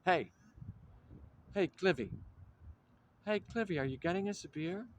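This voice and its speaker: A man's voice: sits high, animated, a little slow, a little hiss or hum. An actor playing a blunt, coarse man who keeps complaining to his friend. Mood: grumbling.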